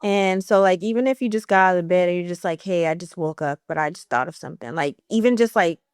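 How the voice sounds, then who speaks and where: A woman in her thirties with a mid-pitched, smooth voice and a light stutter. She talks in an encouraging, filler-heavy way, recorded dry and close to the mic. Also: quick pace, no echo. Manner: warm encouraging, self-described stutterer, many fillers. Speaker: esthetician. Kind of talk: casual podcast conversation.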